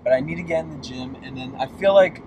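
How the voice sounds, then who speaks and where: A man in his late twenties from Boston chatting casually and candidly as a guest in a car, with emphatic, expressive delivery. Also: medium pitch, fast. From Boston, United States. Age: late 20s. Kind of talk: casual chat. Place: inside a moving car. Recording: clear vehicle road noise. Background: car driving noise.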